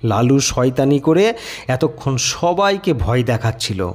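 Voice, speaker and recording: A man's voice: medium pitch, big pitch swings, measured pace. A man reading a literary audio story as a dramatic scripted narration.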